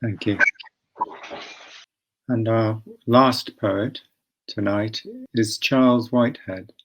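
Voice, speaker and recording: A man's voice: on the low side, fairly even intonation, slow pace, low-quality recording. A man acting as announcer, introducing readers at a poetry event. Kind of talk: introducing poets.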